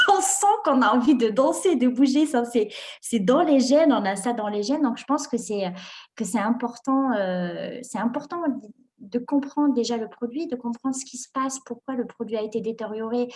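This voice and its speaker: A young woman, born and raised in Morocco, answering interview questions in an animated way, laughing often and restarting her sentences with many fillers. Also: fairly high pitch. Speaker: guest entrepreneur.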